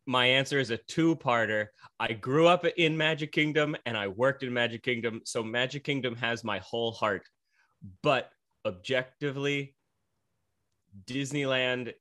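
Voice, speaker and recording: Eager podcast guest, a young man with a Pennsylvania accent and a bright, sharp tone. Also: mid-range voice, measured pace. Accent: Northeastern Pennsylvania. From Pennsylvania, USA. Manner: eager, chatty, frequent fillers. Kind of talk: casual interview chat.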